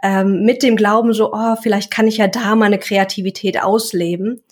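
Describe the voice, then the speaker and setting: A young woman speaks in a calm, reflective way as an interview guest. She talks about her work in an even, conversational tone. Now and then she stops to search for a word. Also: medium pitch, moderate pace. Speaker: guest, self-employed coach. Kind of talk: casual interview talk.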